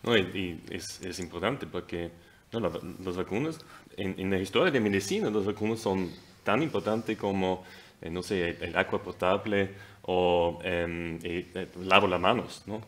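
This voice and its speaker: A man with an Austrian accent speaking haltingly in a second language on a discussion panel, searching for words. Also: low-pitched. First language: German.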